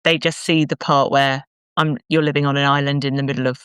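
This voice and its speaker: A woman's voice: a bass voice, flat delivery, fairly quick, clear, resonant tone, dry recording, studio quality. A British woman speaking conversationally as a podcast host. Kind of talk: conversational interviewing and promotional talk.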